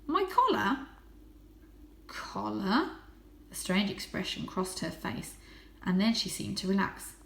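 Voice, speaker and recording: A woman reads a children's story aloud. Her pitch is average and her delivery is expressive and dramatic. The recording is roomy, with a noticeable echo. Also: moderate pace, creaky. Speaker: storybook reader, teacher reading to children. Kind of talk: children's audiobook narration. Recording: roomy, echoing indoor sound.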